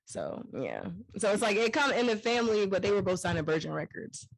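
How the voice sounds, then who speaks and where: A woman with a deep voice talks loosely and casually, rambling a little as she tells her story. Her voice sits in the middle and sounds dry and close, with almost no room in it. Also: fairly quick, gravelly, no echo, rough recording. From family from Jamaica. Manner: relaxed, rambling, laughs easily. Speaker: guest singer-songwriter. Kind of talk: casual interview chat.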